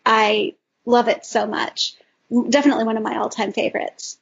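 Woman podcast panelist, gushing fan energy, raspy edge to the voice, slightly muffled recording. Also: a little high, fairly even intonation, moderate pace. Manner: enthusiastic, gushing. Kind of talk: casual chat, recommending fan audio stories. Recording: dull lo-fi audio.

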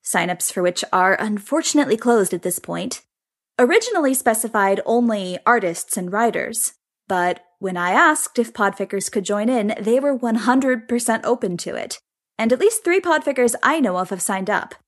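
A woman's voice: on the high side, animated, normal speed. A woman listener delivering a prepared recorded comment for a podcast.

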